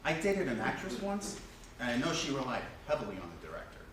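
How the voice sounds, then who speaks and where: Man acting lively, curious man, distant and echoey. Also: medium pitch, fast. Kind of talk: staged play reading. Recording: distant, echoey, noisy stage recording.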